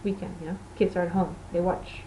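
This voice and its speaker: Mellow-voiced Portuguese woman chatting casually. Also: on the low side, flat delivery, brisk, a little hiss or hum, low-quality recording. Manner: rambling, self-correcting, conversational. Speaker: knitting podcast host. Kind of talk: casual podcast chat.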